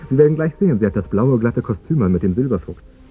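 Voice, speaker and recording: An actor playing a courteous, guileless fiancé who talks in an eager, earnest and tactless way in a radio drama. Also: male voice, medium pitch, lively intonation, quick pace, warm tone, husky. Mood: chatty, guileless. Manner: polite, chatty, earnest. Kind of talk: 1950s German radio drama acting. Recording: dull 1950s radio recording with music.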